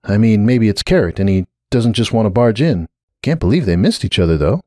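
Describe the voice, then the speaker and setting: A man voicing a concerned but firm female unicorn pony with a frank, measured manner in a story reading. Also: lowish voice, animated, mellow, no room sound, studio quality, lightly acted. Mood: puzzled.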